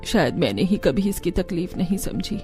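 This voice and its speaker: A woman's voice: on the low side, sing-song, normal speed, mellow, soft background music. A woman voicing an older, demanding village mother-in-law in a cartoon story. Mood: regretful.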